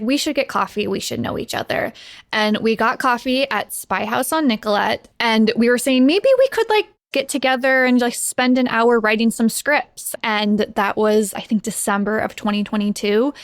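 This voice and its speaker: An American woman speaks at a middling pitch as a guest on a talk podcast. Her delivery is casual and informal as she explains things. The recording is dry and free of room sound. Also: no echo. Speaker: podcast guest, audio drama producer. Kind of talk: casual conversation.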